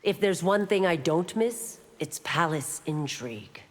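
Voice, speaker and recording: An actress playing a stern, loyal police constable in a video game, speaking in a measured, formal and firm way. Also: female voice, lowish voice, animated. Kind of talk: video game dialogue acting. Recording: long hall-like reverb.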